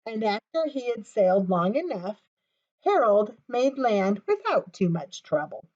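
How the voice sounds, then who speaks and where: A woman presenting a storytime for young children, talking to them directly in an animated way as she reads aloud. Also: medium pitch, measured pace, muffled recording.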